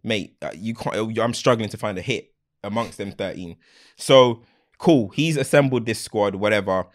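A man's voice: fairly low pitch, moderate pace. A man co-hosting a football chat, blunt and opinionated with street slang. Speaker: podcast co-host. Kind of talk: casual football banter.

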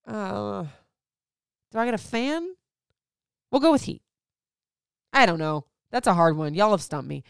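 A woman's voice: mid-range voice, expressive, a little slow, creaky, pauses often, clear, resonant tone, no echo, clean studio sound. A woman in her thirties from Florida, chatting candidly as a host answering listener questions, rambling with lots of "like"s. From Florida, USA. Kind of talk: casual chat.

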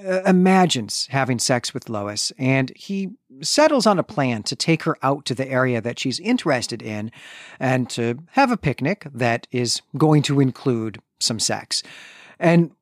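A man's voice: on the high side, lively intonation, unhurried, dry recording, studio quality. A man co-hosting a podcast, giving a conversational literary recap in an explanatory manner, checking his points with rhetorical questions. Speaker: podcast co-host.

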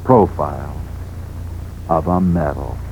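A man's voice: extremely low pitch, slow, creaky. An American man telling sports stories as a 1940s radio host, emphatic and theatrical, building up through repetition. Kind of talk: 1940s dramatic radio narration. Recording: dull, noisy 1940s radio recording.

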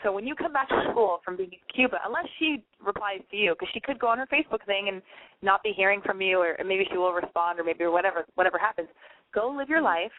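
Fast-talking chatty woman on a tinny line. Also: mid-range voice. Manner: chatty, emphatic, big-sister advice. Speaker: advice show host. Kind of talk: casual advice call-in chat.

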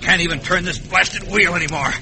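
High-voiced, blustering outlaw. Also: male voice, fairly quick. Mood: furious. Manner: blustering, folksy rough grammar. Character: greedy, hot-tempered western outlaw boatman. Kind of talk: 1950s western radio drama acting. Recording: noisy old radio drama recording.

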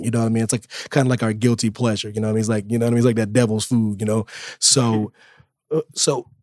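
A man hosting a casual wrestling podcast, playfully boastful, repeating his phrases for emphasis in animated panel banter. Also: mid-range voice, no room sound, clean studio sound.

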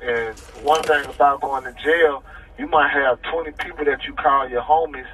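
A man's voice: medium pitch, steady tone, normal speed, a bit bright. A man being interviewed over a prison phone call, talking casually in informal street slang and often checking that he is followed. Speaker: interview guest calling from prison. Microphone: telephone. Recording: thin prison phone line, noisy, distant.

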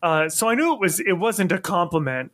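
Bright-voiced chatty male podcast host. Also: high-pitched, lively intonation, brisk. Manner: rambling, self-mocking storyteller, laughs often. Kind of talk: casual podcast chat.

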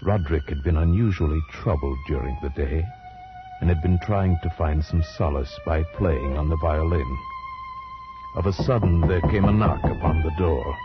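Casting: brooding gentleman storyteller, deep voice, formal and uneasy, vintage radio horror with prominent music. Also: normal speed. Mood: brooding dread. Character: earnest, uneasy gentleman narrator of a gothic tale. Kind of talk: 1940s radio horror narration. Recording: dull 1940s radio recording, music behind. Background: dramatic music.

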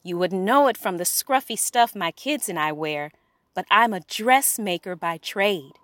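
A woman's voice: mid-range voice, expressive, unhurried, a bit bright, dry recording. A woman playing a kind, poor village dressmaker and mother in a children's story, welcoming and earnest. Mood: friendly, chatty. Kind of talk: children's story podcast character acting.

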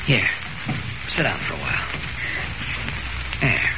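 An actor playing a steady, reassuring reporter who is secretly a superhero, in a 1940s radio serial style. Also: male voice, fairly low pitch, slow. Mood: calm, reassuring. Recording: noisy, muffled vintage radio recording.

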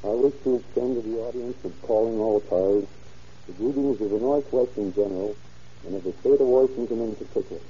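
A man's voice: lowish voice, a little fast, husky. A man giving a formal, scripted address as a guest sheriff on an old radio program. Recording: dull, muffled, hissy 1930s radio recording.

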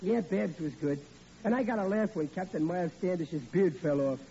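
Brief: a brash working-class dad, high bright-edged tone, dull old radio sound with faint hiss. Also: male voice, moderate pace, vocal fry. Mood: boastful. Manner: brash, wisecracking, Brooklyn-style tough talk. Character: boastful working-class dad, blustering and dim. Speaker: lead actor as blustering family man. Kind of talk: 1940s radio sitcom acting.

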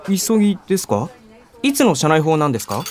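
An actor playing an earnest, polite young office junior in a Japanese radio drama, easily exasperated. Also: male voice, on the high side, sing-song, a little fast, darkish tone. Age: young adult.

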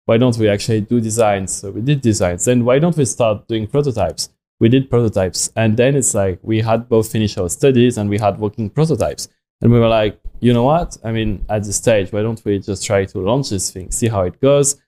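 A man's voice: lowish voice, a little fast, warm tone. A young man from France with a French accent, telling stories casually and informally as an interview guest, often acting out his own past thoughts. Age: young adult. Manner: informal, frequent self-quoting in anecdotes. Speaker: podcast guest, watch company founder. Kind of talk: casual conversational storytelling.

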